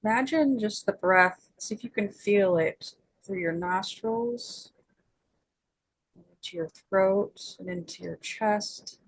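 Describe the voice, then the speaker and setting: A woman leading a guided meditation as its guide, speaking in gentle, soothing invitations with long pauses. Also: mid-range voice, slightly rough, poor audio.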